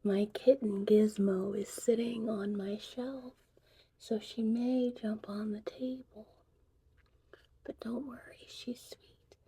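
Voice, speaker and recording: Woman whispering slowly, dark soft noisy ASMR. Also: a little high, level tone. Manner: soothing, slow, soft-spoken, mostly whispering. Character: gentle mystical witch teaching potions. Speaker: ASMR creator playing a witch who teaches potion making. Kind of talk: whispered ASMR roleplay, guided breathing and narration. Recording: clearly noisy, vintage VHS-tape styled audio.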